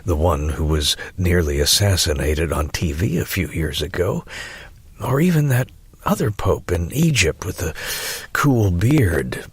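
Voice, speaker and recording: A man's voice: sits low, lively intonation, measured pace, slightly rough, dry recording, exaggerated voice. A man narrates as an aggrieved teenager in a storybook. The delivery is emphatic and projected, with wry sarcasm. The sound is close and dry. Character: sardonic, aggrieved teenager narrating a strange misfortune. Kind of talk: first-person audiobook narration.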